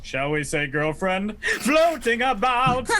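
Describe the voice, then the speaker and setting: A man's voice: high-pitched, sing-song, slow, bright, forceful tone, exaggerated voice. A man playing a scheming, mock-courteous villain in a 1940s-style radio play, silky and condescending. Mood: silky menace. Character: scheming, mock-courteous mad villain leader of warring vegetables.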